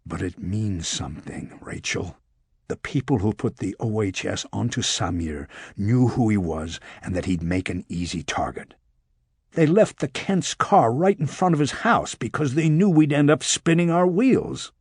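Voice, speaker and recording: A male narrator plays a hard-nosed police detective in a crime audiobook. He is gruff and defiant, speaking bluntly in a husky voice. The recording is dry and close. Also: on the low side, animated, measured pace, a little mellow, no echo, full character voice. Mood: insistent. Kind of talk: audiobook character dialogue.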